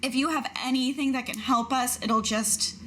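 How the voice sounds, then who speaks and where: A woman's voice: high-pitched, fairly even intonation, normal speed, a bit bright, a little hiss or hum. A woman playing a tabletop game, talking casually in character.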